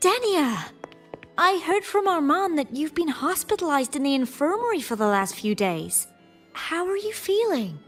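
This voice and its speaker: An actress playing a kind young graduate student in a video game, gently teasing and earnest, with slight hesitation. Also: female voice, sits high, big pitch swings, moderate pace, faint music behind. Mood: concerned, curious. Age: young adult.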